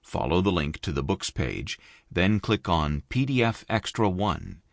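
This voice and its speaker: A man reading an audiobook in a measured, expressive studio narration. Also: creaky, no echo. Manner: measured, expressive reading with wide pitch movement. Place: dry recording booth. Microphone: close studio mic.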